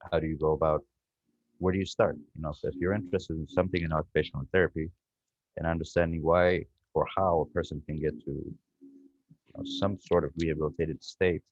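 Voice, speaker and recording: A man's voice: rumbling low, steady tone, fairly quick, no echo. A man, a guest researcher telling his story on a video call in a reflective, rambling way. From Ethiopia. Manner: reflective, rambling with frequent "you know" and restarts. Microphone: video call microphone. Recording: dull lo-fi video call audio, dry.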